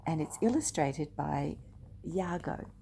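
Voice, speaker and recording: An older woman reading a picture book aloud expressively and deliberately, speaking directly to young listeners. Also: low-pitched, lively intonation, vocal fry, warm tone, slight background noise. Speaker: storyteller.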